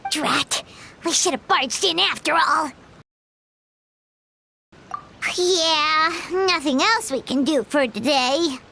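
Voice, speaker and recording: A woman voicing a small, chirpy, excitable childlike fairy girl with a squeaky voice in an anime video game. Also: slow, vocal fry, a little hiss or hum, exaggerated voice. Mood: disappointed.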